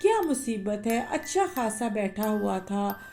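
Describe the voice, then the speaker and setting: A woman voicing a lazy, grumbling male parrot in a children's cartoon story, talking in a lower, whiny tone. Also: sing-song, measured pace, dark tone, soft background music.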